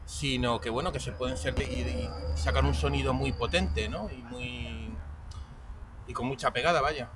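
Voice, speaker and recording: Spanish male interviewer, measured questions, mid-pitched, heard from a distance over background noise. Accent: Spanish from Spain. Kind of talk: radio-style music interview.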